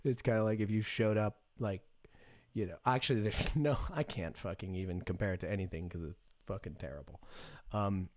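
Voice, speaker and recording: A man's voice: fairly low pitch, fairly quick. An American man in his thirties giving casual, off-the-cuff commentary on the news as a streamer, irreverent, sarcastic and freely swearing. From United States. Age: 30s. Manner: irreverent, sarcastic, profanity-laced.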